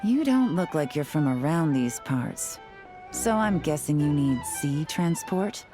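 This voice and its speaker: A woman playing a composed, shrewd young captain in a video game, speaking in a cool, measured, businesslike way. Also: deep voice, lively intonation, music in the background.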